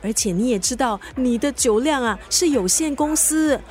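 Casting: sassy, caring Singaporean best friend, very high voice, colloquial radio-drama delivery. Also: female voice, expressive, moderate pace, a little mellow. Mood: teasing, exasperated. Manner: colloquial, mixes in English words.

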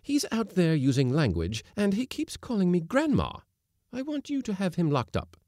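A male narrator plays a middle-aged woman cook in a sea story. She is unflappable and bossy, pitched up from his own voice, with lively swoops. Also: normal speed, dry recording, studio quality. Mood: calmly indignant. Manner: calm, decided.

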